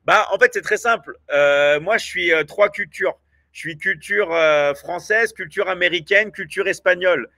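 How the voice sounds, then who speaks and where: A man's voice: sits high, normal speed, sharp tone, dry recording. A man hosting a live online sales stream, talking eagerly with lots of fillers and repeats. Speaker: live show host. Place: at home in South America.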